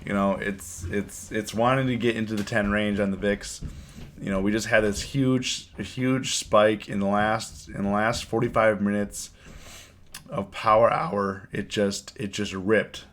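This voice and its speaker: A man with a cold and a hoarse voice, hosting a casual live stream and chatting with his viewers, often repeating his words. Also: fairly low pitch, steady tone, a little slow, a bit bright. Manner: rambling, repeats words, reads chat aloud. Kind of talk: casual live stream chat about trading.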